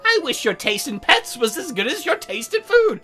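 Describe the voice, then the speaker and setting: Man putting on a high-pitched prim demon girl. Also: big pitch swings, normal speed, bright, forceful tone, faint music behind, exaggerated voice. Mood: pleased. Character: teasing, prim young female demon dealmaker.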